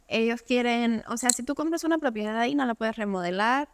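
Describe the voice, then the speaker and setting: A woman's voice: a little high, brisk, a little hiss or hum. A young woman from Cuba, talking casually as an interview guest and padding her speech with fillers. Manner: conversational, many fillers like "o sea" and "pues".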